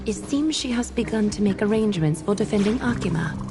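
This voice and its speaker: A woman voicing a noble demigod ruler in a video game, composed, stern and resolute, with formal, measured delivery. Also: mid-range voice, expressive, over loud music, natural voice. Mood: firm defiance. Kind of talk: game voice acting.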